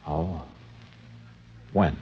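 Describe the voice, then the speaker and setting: A man's voice: extremely low pitch, extremely slow, slight background noise, full character voice. An actor playing a probing male insurance investigator in a 1950s radio drama, with a clipped, questioning delivery. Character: insurance investigator, adult man, brisk and probing. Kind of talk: 1950s radio detective acting. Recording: muffled old radio recording, faint music.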